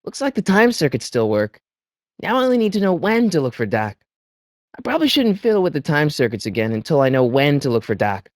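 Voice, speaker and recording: An excitable teenage boy hero for an adventure video game. His delivery is lively and swoops up and down, with small hesitant stammers and an incredulous edge. He comes across as good-hearted, recorded dry with no room around him. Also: a little high, brisk, no echo. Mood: musing, curious. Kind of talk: animated video game voice acting.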